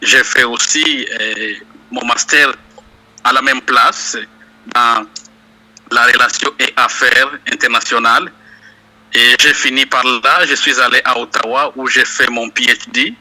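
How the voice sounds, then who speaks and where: A man answers questions at an unhurried, halting pace, filling gaps with drawn-out hesitations. His voice is very bright and sharp, in a middling register. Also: medium pitch, steady tone, poor audio. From DR Congo, South Kivu. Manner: slow, hesitant, many fillers. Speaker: interview guest, university lecturer. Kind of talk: interview answers.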